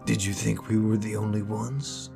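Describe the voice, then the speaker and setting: A man's voice: on the low side, flat delivery, moderate pace, creaky, over music. A man playing a practical, grudging and inquisitive tinkerer in an audio drama, blunt and questioning. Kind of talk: amateur audio drama acting.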